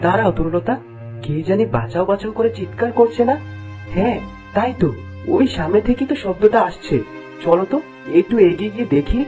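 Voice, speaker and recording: A man's voice: high voice, brisk, pauses often, music in the background, natural voice. A man voicing a noble, caring young prince in a cartoon fairy tale, earnest and declamatory. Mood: concerned.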